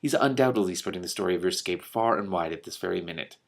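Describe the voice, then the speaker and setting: A man reading a storybook as a composed, shrewd female dragon, with a measured, dry delivery. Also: low-pitched, lively intonation, vocal fry, exaggerated voice. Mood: dry, knowing. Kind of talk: storybook read-aloud.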